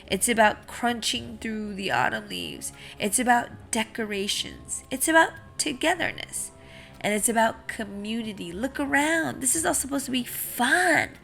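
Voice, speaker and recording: A woman's voice: fairly high pitch, animated, moderate pace, gravelly, a bit bright, faint music behind. A woman voicing a kindly, polite pumpkin seed who gives earnest, reassuring advice in a children's storybook. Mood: earnest, encouraging. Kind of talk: children's picture book read-aloud.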